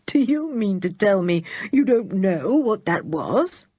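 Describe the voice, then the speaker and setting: A woman voicing a calm, kindly grown man who explains things gently. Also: mid-range voice, husky, no echo, clean studio sound, heavily acted. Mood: reassuring. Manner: soothing and unhurried.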